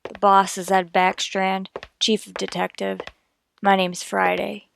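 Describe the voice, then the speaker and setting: A woman's voice: medium pitch, monotone, measured pace, gravelly, a bit bright, a little hiss or hum. A woman playing a terse, businesslike police detective in a radio crime drama, clipped and matter-of-fact. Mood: flat narration. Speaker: narrator and lead actor. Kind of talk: old-time radio crime drama acting.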